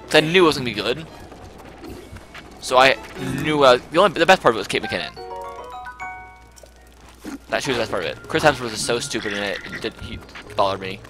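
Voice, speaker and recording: A man's voice: mid-range voice, animated, brisk, pauses often, music in the background. An American man chatting casually as he hosts a gaming livestream, rambling and playfully argumentative. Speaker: livestream host playing a video game.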